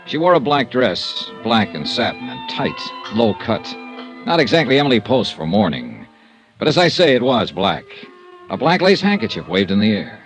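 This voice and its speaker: An actor playing a wry, hard-boiled insurance investigator with a dry, clipped delivery, in a 1950s radio drama. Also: male voice, lowish voice, wildly swooping pitch, normal speed, over music, poor audio. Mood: wry narrating. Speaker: detective narrator and lead. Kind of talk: 1950s radio noir narration and acting.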